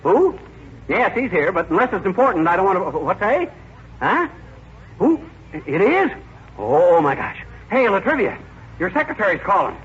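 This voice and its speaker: A folksy, teasing husband from old radio comedy. His high-pitched voice swings up and down with jaunty mischief, on a dull recording. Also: sing-song, moderate pace, a bit bright, slightly rough, exaggerated voice. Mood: excited. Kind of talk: 1940s radio comedy acting.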